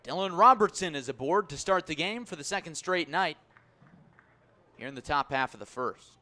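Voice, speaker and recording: A man calling baseball live on the radio as play-by-play announcer, measured between pitches and excitable on big plays. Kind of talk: live radio sports commentary.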